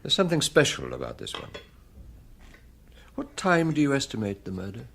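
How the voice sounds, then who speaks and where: An actor playing a seasoned, methodical police chief inspector in a radio drama, deliberate and authoritative in his questioning. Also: medium pitch, huge pitch swings, creaky, a bit bright, slight background noise, heavily acted. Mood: brooding.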